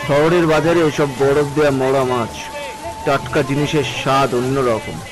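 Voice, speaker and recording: Cartoon restaurant buyer voiced by a man, eager and businesslike deal-maker, clear background music. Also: mid-range voice, fairly even intonation, measured pace, natural voice, low-quality recording. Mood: eager, impressed. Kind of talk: cartoon moral-story dialogue. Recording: music behind the voice.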